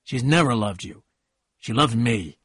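A man voicing a polite, quietly insistent gentleman in love, in a story reading. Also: mid-range voice, lively intonation, unhurried, breathy edge, no room sound, studio quality.

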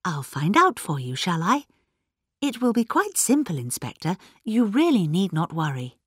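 Woman doing sweet polite old lady detective in an audiobook, gentle, takes her time, lilting. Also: lowish voice, wildly swooping pitch, measured pace, husky, no room sound, clean studio sound, exaggerated voice. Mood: gentle, reassuring. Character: gentle, shrewd, polite elderly village lady.

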